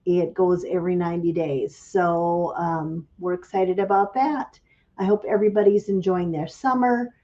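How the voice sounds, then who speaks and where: A woman chats casually on a livestream, greeting viewers by name. Her voice is mellow and a little raspy from a cold. The stream audio sounds slightly dull and lo-fi. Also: on the low side, fairly even intonation, normal speed. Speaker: craft business owner hosting a livestream.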